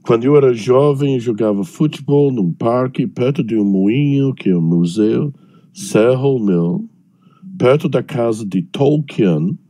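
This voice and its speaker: An older Englishman speaks with an English accent through a headset on a video call, his voice hoarse from illness. He talks slowly and haltingly, searching for words, in a low, mellow tone. The sound is dry and close. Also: expressive, no room sound. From England, Birmingham. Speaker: panelist, professor. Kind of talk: online panel talk. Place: home room with bookshelves.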